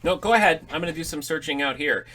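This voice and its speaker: A man's voice: fairly high pitch, fast talker, sharp tone, slight background noise. A man plays a middle-aged family dad in a comic audio drama. He grumbles and comments with dry sarcasm, wry and a little uneasy. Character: wry, grumbling, uneasy father exploring an inherited house. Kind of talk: audio drama comedy acting.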